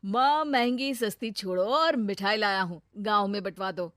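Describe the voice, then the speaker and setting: A woman's voice: mid-range voice, normal speed, a bit bright, no room sound, studio quality, lightly acted. Woman playing a proud young village husband. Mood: cheerful.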